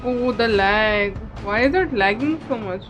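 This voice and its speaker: A woman's voice: mid-range voice, unhurried, dull audio, over music. A woman chatting casually as she streams a game. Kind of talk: casual livestream chat while gaming.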